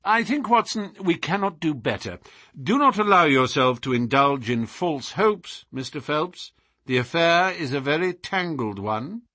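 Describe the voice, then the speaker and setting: A man voicing a brilliant, self-assured gentleman detective from a storybook, musing in a precise, declamatory and philosophical way. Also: on the high side, animated, a little slow, dry recording, studio quality, pitched above the performer's own voice, full character voice. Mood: wry, assured.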